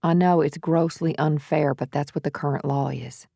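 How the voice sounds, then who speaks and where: A woman playing an earnest defense lawyer in an audiobook, measured, soothing and reassuring. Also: sits low, fairly even intonation, gravelly, warm tone, slightly rough, no echo, studio quality, full character voice. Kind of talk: dramatic audiobook character dialogue.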